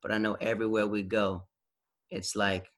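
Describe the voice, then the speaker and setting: Bright-toned, lower-voiced male guest. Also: moderate pace. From United States. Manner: relaxed storytelling, acts out quoted reactions. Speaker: podcast guest. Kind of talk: casual conversational interview.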